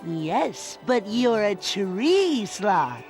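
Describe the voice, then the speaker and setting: A man voicing a little male possum sidekick in an animated game, daft and eager, with mock-serious drawn-out delivery. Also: high-pitched, over music, heavily acted. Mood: grandly eager. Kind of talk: animated game cutscene acting.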